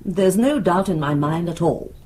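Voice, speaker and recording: An actress playing a haughty, opinionated older British witness in a radio drama, prim, precise and sure of herself. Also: female voice, on the low side, lively intonation, moderate pace, dark tone, natural voice. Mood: certain, prim. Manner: prim, precise, indignant certainty.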